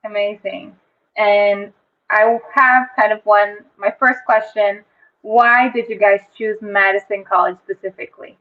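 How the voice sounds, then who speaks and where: A woman's voice: medium pitch, steady tone, unhurried. Woman hosting a student interview, upbeat, audio sounds like a phone call. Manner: upbeat, short reactions. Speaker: interview host.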